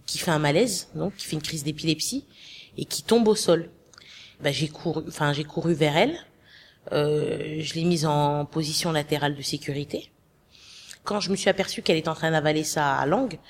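A woman recounting a personal experience in an interview, hesitant and halting, with frequent fillers. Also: low-pitched.

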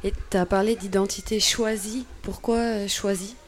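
A woman interviewer asking gentle, probing questions in a conversation. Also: mid-range voice, fairly even intonation, moderate pace.

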